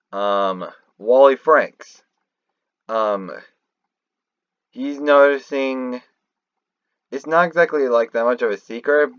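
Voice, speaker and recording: Male game-lore commentator, unhurried and rambling, restarts often, dry but slightly muffled lo-fi home recording. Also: medium pitch, dry recording. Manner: halting, rambling, often restarting mid-thought. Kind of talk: unscripted theorizing commentary over a screen recording.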